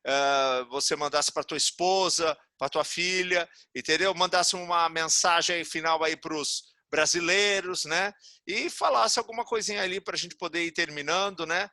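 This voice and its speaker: A man's voice: on the high side, normal speed, hardly any pauses, bright, forceful tone, no room sound, studio quality. A Brazilian man hosting a podcast interview, effusive and folksy as he wraps up the talk. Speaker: podcast host.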